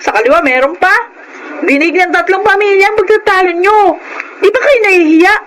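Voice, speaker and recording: Exasperated, scolding daughter. Very high voice, embarrassed, radio drama. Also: female voice, brisk, fairly bright tone, slight background noise, poor audio.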